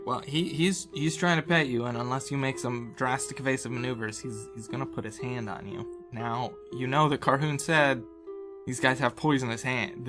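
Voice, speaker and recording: A man casually narrating an improvised tabletop game as game master, playful and conversational. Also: medium pitch. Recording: music bed behind the voice.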